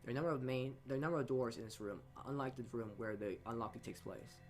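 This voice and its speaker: A man's voice: medium pitch, fast. A man narrating a visual novel aloud as a let's play host, often stumbling over words and re-reading them. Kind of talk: reading game text aloud with asides. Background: game music.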